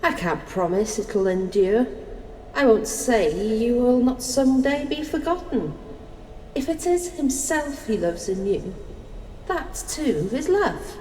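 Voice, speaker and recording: A woman's voice: a little high, lively intonation, slow pace, dark tone. An actress playing Life personified as a proud, hopeful woman, declaiming earnestly in a stage-like audio drama. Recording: echoey hall, faint noise.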